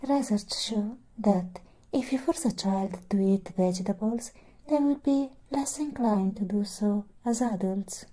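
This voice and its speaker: A soothing female android companion, acted by a young woman in an ASMR roleplay, devoted and protective. The voice is very slow and mellow, with a breathy edge, often slipping into intimate whispers and measured, programmed formality. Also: medium pitch, lightly acted. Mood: measured, cautioning. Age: young adult.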